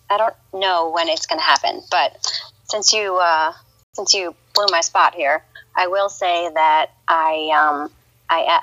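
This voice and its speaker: A woman's voice: on the low side, pushed and bright, lo-fi sound. A woman chatting casually on a game live stream, hesitant and full of ums and small laughs. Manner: hesitant, many ums and restarts, laughs often. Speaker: streamer and clan recruiter.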